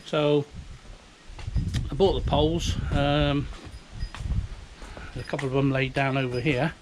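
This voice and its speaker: A slightly noisy outdoor handheld recording of a middle-aged British man with an average-pitched voice. He talks in a loose, chatty way, like a hobbyist showing things to the camera. His delivery is unpolished and rambles along casually. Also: fairly even intonation, unhurried. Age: 50s. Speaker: hobbyist video maker. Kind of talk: casual handheld show-and-tell. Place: outdoors beside a house, breezy. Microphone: handheld camera or phone.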